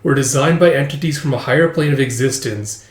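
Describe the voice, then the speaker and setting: A man's voice: mid-range voice, fairly quick, natural voice. Man acting a pompous mystical schoolboy. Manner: pompous, grandiose. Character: pompous mystical schoolboy, florid know-it-all. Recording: roomy, echoey sound.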